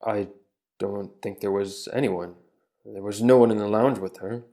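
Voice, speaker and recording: A man's voice: fairly low pitch, fairly even intonation, moderate pace, warm tone, natural voice. A man playing a plain-spoken 1890s witness under cross-examination, giving short, polite answers. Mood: steady. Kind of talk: dramatic reading of a trial transcript.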